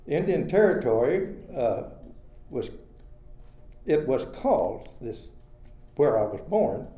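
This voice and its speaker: An older man from Oklahoma reading a prepared personal history as a storyteller at an event, in a measured, plain way. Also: medium pitch. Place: retirement community event room. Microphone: handheld microphone. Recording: echoey, slightly dull room recording.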